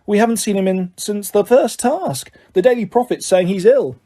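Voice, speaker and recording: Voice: medium pitch, normal speed, mellow, voice pushed up, natural voice. Brief: plain, curious teen boy in a reader's near-natural voice. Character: curious teenage schoolboy. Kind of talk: audiobook character voice.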